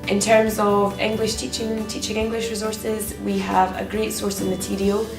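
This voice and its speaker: A Scottish woman speaks in a steady, narrow tone with soft hesitations. She sits some way from the mic in a roomy space, and a music bed runs underneath. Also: mid-range voice, normal speed, distant mic. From Scotland. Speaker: English teacher. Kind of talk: recruitment video interview.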